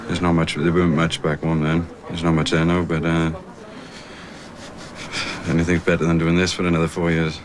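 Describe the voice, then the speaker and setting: Deep-voiced South Welsh soldier. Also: male voice, monotone, moderate pace, long pauses, a crowd in the background. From South Wales. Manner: plain-spoken, laconic, trailing off. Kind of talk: candid interview answers. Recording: noisy field recording.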